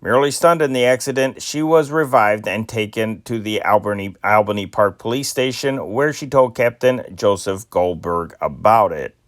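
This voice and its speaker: A man's voice: lowish voice, normal speed, few pauses, no room sound, studio quality. A man reading old newspaper stories aloud in a plain amateur style, stumbling now and then over names. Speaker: newspaper reader.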